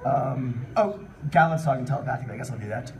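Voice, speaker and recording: A man's voice: mid-range voice, expressive, quick pace, a little hiss or hum. A man hosting a live comedy panel, reading fan fiction aloud with amused, incredulous commentary. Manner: amused, incredulous at what is read. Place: live convention panel room. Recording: distant mic in a room with audience.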